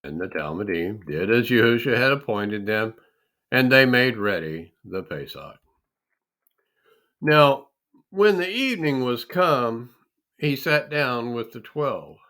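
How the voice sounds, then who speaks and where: A man giving a solemn, deliberate reading of scripture, with dramatic emphasis on the phrases. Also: medium pitch, big pitch swings, a bit bright. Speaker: scripture reader.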